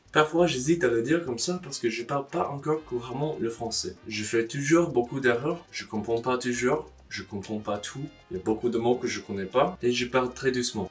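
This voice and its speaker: A young man with a foreign accent talks straight to camera, blunt and wry, with casual swearing. He sits a little back from the mic. Soft guitar music plays underneath. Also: mid-range voice, distant mic. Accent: foreign, non-native French. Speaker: language-learning vlogger. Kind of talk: casual advice monologue to camera.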